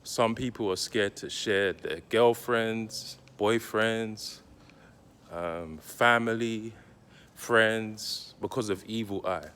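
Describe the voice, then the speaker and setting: A man talking directly to his viewers, giving casual spiritual advice in a slow, deliberate, reflective way with pauses. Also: lowish voice, a bit bright, clean tone. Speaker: spiritual content creator.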